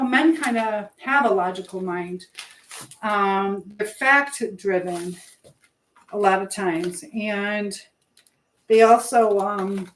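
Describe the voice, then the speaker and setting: Woman, livestream host, informal rambling chat, echoey kitchen, faint background noise. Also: mid-range voice. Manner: informal, rambling, reading out and answering comments. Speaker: livestream host chatting with viewers. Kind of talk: casual live chat while baking. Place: home kitchen.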